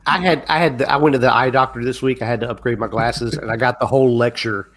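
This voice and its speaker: A man's voice: medium pitch, steady tone, fast, fairly bright tone. A man in his forties joking and laughing in a casual chat. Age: 40s.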